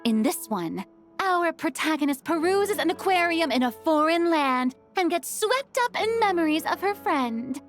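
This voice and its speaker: A woman's voice: very high-pitched, sing-song, measured pace, heavily acted. A woman voicing a theatrical, bossy and cheerful young female film director in an anime video game. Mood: brisk, commanding. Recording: music underneath. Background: background music.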